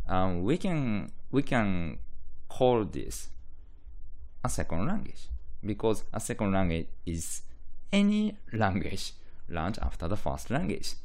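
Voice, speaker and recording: A man with a Japanese accent, a native Japanese speaker, giving a lecture that explains terms, hesitantly repeating and restating key phrases. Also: sits low. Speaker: university lecturer in linguistics.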